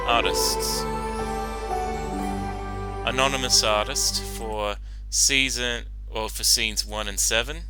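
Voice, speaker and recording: A man giving casual reaction commentary as host, talking in a halting, rambling way with lots of filler phrases. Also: medium pitch. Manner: halting, rambling, frequent "you know". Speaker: host giving commentary on a fan-fiction reading.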